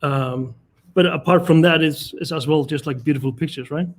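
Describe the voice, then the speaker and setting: A man from Iceland in his forties talks casually over a video call as a chef guest. His voice sits a little high for a man, and he gropes for words with lots of ums and restarts. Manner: halting, many fillers and restarts. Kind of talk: casual online talk.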